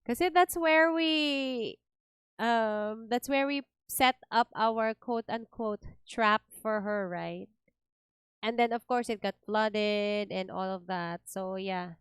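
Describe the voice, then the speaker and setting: A woman's voice: a little high, slow, clear, resonant tone, dry recording, studio quality. A woman game streamer giving casual live reactions and thinking aloud.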